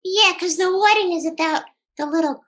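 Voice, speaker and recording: A woman's voice: extremely high pitch, moderate pace, fairly bright tone. A woman co-hosting a storytime, chiming in with playful, childlike questions and comments. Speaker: storytime co-host commenting on the book.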